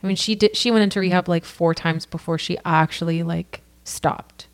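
A woman's voice: on the low side, fairly even intonation, normal speed. A woman from California, a podcast guest telling her personal story in an earnest, casual way, full of "like" and "you know.".

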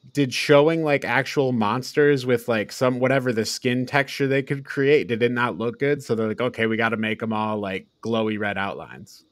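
A man's voice: mid-range voice, no echo, clean studio sound. A man co-hosting a podcast, giving casual, opinionated film critique in an exasperated, incredulous manner. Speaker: podcast co-host.

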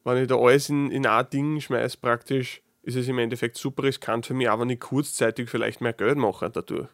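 A man's voice: medium pitch, steady tone, brisk. A man speaking in Austrian dialect, hosting a casual solo podcast, thinking aloud with frequent restarts and dry, sarcastic asides. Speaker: podcast host.

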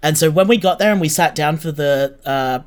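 A man's voice: fairly high pitch, a little fast, clear, resonant tone. A man giving chatty, informal answers as an interview guest. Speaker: interview guest, podcast creator. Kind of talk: casual conversational interview answers.

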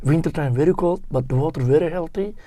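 A man in his fifties from Turkey, speaking English with a Turkish accent, talking casually in an interview in halting, broken phrases. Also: on the high side, mellow, no room sound. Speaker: guest, security guard.

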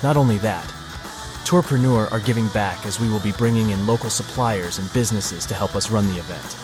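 A man reading a scripted event ad in a smooth, persuasive promo delivery. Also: on the low side, fairly quick. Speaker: ad announcer. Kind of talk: scripted ad read. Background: guitar music.

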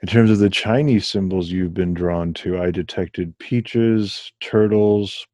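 Deep-voiced guy interviewer, lots of ums, dry close sound. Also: steady tone, dark tone, dry recording. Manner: measured, hesitant with ums. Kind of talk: interview questions.

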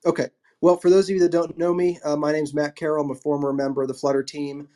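A man hosting a casual online discussion panel, wrapping up and thanking speakers in a relaxed, rambling way. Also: on the high side, fast. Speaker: host.

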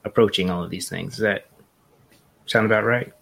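A man hosting a podcast interview, speaking in a relaxed, conversational way with frequent fillers. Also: fairly low pitch, normal speed, creaky. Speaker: podcast host.

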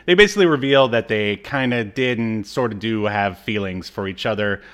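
A man co-hosting a podcast, giving a casual, informal recap in a halting storytelling manner. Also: lowish voice, a bit bright, clean tone. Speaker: podcast co-host. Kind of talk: casual episode recap chat.

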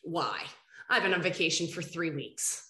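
A woman's voice: deep voice, normal speed, bright, forceful tone, echoey room. Nasal, congested woman host. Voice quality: a little nasal. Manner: earnest, rambling, restarts sentences. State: congested from a head cold. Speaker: podcast host. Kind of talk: casual interview chat.

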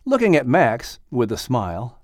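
Animated male children's audiobook narrator. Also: mid-range voice, moderate pace, dry recording, clean studio sound. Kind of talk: expressive scripted children's story narration.

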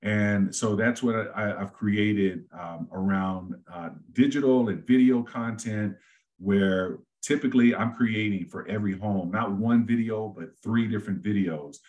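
A middle-aged man with a very low, deep voice, the kind people say belongs on radio. He talks in a relaxed, rambling way as a guest, full of fillers and restarts. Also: a little slow. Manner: easygoing, talks at length with many fillers and restarts. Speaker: real estate agent, guest. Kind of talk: casual podcast interview.

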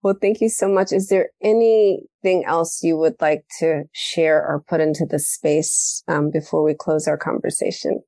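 A woman hosting a podcast, telling a story in a casual, animated way and acting out the quoted lines. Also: deep voice, moderate pace, hardly any pauses, dry recording. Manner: animated storytelling, acts out quoted dialogue, laughs often. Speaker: podcast host. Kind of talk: casual conversational interview.

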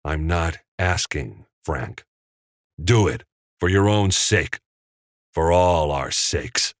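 A man voicing a lean, menacing, power-hungry studio boss in an audiobook, withering and deliberate. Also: sits low, lively intonation, no room sound. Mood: cold pressure.

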